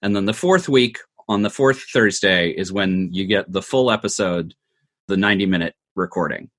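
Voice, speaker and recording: A man's voice: low voice, normal speed, fairly bright tone. A man giving thoughtful, conversational interview answers, explaining things step by step. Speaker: interview guest, audio drama producer.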